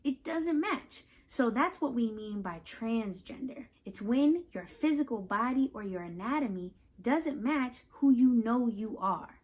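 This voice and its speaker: A woman reading a picture book aloud to children, pausing to explain and put questions to the listeners in an instructive, animated way. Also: on the high side, slightly rough. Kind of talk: children's read-aloud with teaching asides.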